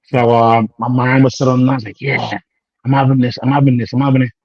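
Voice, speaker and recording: Slangy British-raised bodybuilder, dry lo-fi. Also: male voice, medium pitch, flat delivery, quick pace, dry recording. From United Kingdom. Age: 30s. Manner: rambling, slangy, acts out quoted dialogue. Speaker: professional bodybuilder guest. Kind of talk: casual interview chat.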